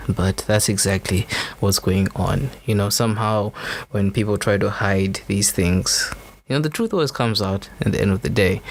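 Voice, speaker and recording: A man's voice: on the low side, gravelly. A young man from Zimbabwe, hosting a podcast and talking through his views in an earnest, rambling way, full of "you know" fillers. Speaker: podcast host. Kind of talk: unscripted opinion monologue.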